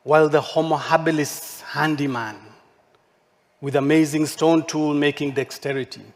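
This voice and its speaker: A man who speaks English as his second language, giving a measured, poetic keynote lecture with deliberate rhetorical questions. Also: a little high, fairly even intonation. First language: not English. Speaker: architect giving a keynote talk. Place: large hall at a forum. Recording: long hall reverb, stage mic.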